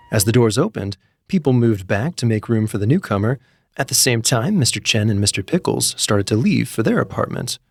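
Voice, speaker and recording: A man narrating a scripted comedic story in an expressive, theatrical way, with music playing behind him. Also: medium pitch, creaky, no echo. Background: background music.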